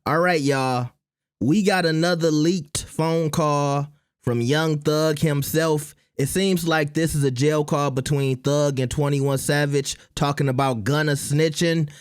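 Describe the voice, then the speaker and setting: American guy doing a casual video intro, smooth clear voice, kinda high, dry sound. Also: fairly even intonation, unhurried, dry recording, faint music behind. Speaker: video channel host.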